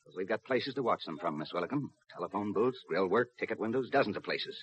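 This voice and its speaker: An actor playing a steady, businesslike railroad police lieutenant who also narrates in a 1950s radio drama. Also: male voice, fairly low pitch, quick pace, lightly acted. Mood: brisk, instructing. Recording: dull, lo-fi 1950s radio recording.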